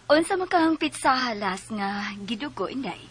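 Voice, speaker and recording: An actress with a Cebuano accent playing a brisk, gently scolding midwife in a Bisaya radio drama. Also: female voice, on the high side, lively intonation, over music, close to an everyday voice. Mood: brisk, chiding.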